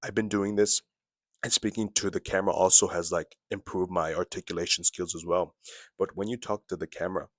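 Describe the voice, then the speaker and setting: A young man with a very deep, low voice talks straight to the camera, giving casual advice. He often repeats phrases and checks in with a quick "okay?" The sound is dry and close, with no room echo. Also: steady tone, a bit bright. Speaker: self-improvement vlogger.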